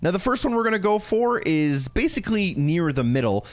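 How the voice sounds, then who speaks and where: A man's voice: sits high, sing-song, fairly quick. A man narrating a video game walkthrough in a casual, instructional way, talking directly to the viewer. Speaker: video game guide creator.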